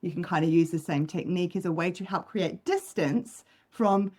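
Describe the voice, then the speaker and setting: A woman with a New Zealand accent softened by Australian tones, a psychologist talking through ideas in a relaxed podcast chat on a video call, with many ums and restarts. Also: on the low side, normal speed. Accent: New Zealand English with Australian overlay. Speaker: clinical psychologist guest. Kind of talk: casual podcast conversation.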